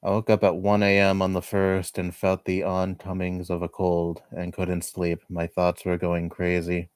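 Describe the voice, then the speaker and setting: A man in his twenties chatting casually on a livestream at home, rambling and laughing softly between thoughts. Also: deep voice, completely flat delivery, measured pace, smooth, no echo, studio quality. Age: 20s. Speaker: livestreamer reading chat. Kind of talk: casual chat.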